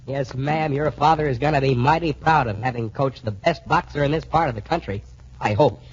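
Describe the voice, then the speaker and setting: An actor playing a loyal, wisecracking teenage cadet sidekick in 1940s radio style, with a folksy, emphatic delivery. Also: male voice, mid-range voice, a little fast, husky, muffled recording, lightly acted. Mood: upbeat. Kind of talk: 1940s radio adventure acting. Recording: band-limited 1940s radio recording.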